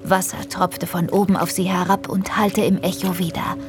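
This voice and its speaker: A woman's voice: on the low side, little pitch movement, moderate pace. A woman narrating a children's audio drama in a scripted storybook style. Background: music.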